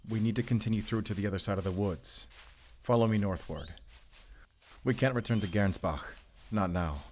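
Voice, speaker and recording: A man playing a weary, protective werewolf hunter in an audio roleplay, earnest and soft-spoken. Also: on the low side, moderate pace, a little hiss or hum, close to an everyday voice. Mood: troubled, resolute. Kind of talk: intimate cinematic audio roleplay.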